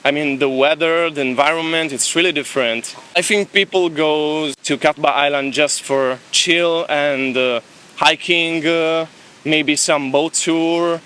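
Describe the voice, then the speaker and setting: A man's voice: fairly high pitch, fairly even intonation, a little slow, bright, forceful tone, a little hiss or hum. A young man with an Italian accent chatting easily in a beach interview about his travels. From Italy. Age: young adult. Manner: chatty, easygoing, laughs between thoughts. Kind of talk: casual street interview. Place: beach, outdoors.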